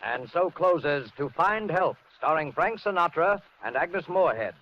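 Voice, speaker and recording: Casting: male 1940s radio announcer, stately and dramatic, muffled sound over very loud music. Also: a little high, animated. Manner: slow, deliberate, formal.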